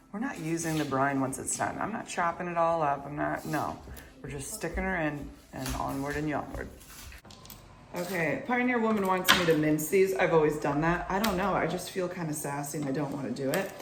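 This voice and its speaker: A young woman with a husky morning voice, chatting playfully to viewers in a cook-along vlog from her kitchen. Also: deep voice, noisy background. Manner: bubbly and playful, talks to viewers while cooking. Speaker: cooking vlogger. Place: home kitchen. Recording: echoey kitchen.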